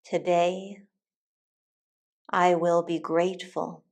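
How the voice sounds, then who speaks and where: A woman teacher leading a guided meditation in a calm, soothing, deliberate way with long pauses. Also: fairly low pitch. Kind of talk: guided meditation, soft instructional narration.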